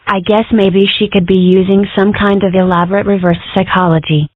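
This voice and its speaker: A woman's voice: medium pitch, little pitch movement, fairly quick, dry recording, lightly acted. Woman as wry young dragon babysitter, thin phone-like sound. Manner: matter-of-fact, dry, casual. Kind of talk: cartoon comic dub. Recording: dry, narrow-band phone-like sound.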